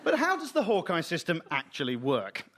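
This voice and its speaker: Clumsy, pushy field reporter: high, bouncy delivery. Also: male voice, huge pitch swings, normal speed, heavy background noise. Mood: chirpy. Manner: eager, fumbling, pushy. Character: bumbling pushy male sports reporter. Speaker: spoof reporter. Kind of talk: comedy radio sketch.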